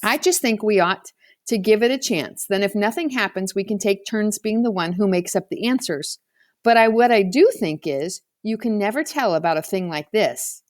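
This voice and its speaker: A woman's voice: mid-range voice, expressive, normal speed, no echo, clean studio sound, lightly acted. A woman voicing a confident, bossy boy with a slangy, theatrical way of talking in a storybook. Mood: cocky, casual. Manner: casual slangy persuasion, theatrical when chanting. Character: bossy, confident boy playing a solemn high priest. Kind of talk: children's storybook character reading.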